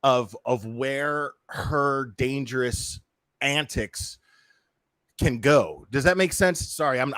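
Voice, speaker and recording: A man's voice: mid-range voice, a bit bright, no echo. A man giving casual, sweary reaction commentary on his own prank, repeating phrases for emphasis. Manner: profane, informal, emphatic repetition.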